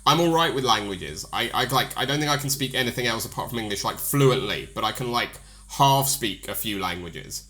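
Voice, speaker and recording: Bright-voiced British male interviewer. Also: mid-range voice, lively intonation, a little fast, few pauses. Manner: rambling, filler-heavy, friendly, laughs easily. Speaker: podcast interviewer. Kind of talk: casual interview chat.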